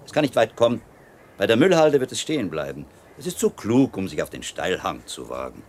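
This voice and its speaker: A man's voice: on the low side, sing-song, a little fast, darkish tone. An actor playing a shrewd, learned monk in a radio drama, lecturing his pupil with wry, teasing self-assurance. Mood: confident, courteous. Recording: clear ambient noise behind voice.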